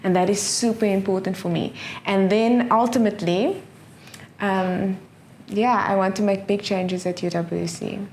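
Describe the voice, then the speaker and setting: A middle-aged South African woman, a professor speaking as an interview guest, earnest and quick to laugh, often restarting her phrases. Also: medium pitch, fairly even intonation, a little mellow, slight background noise. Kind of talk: casual interview answers.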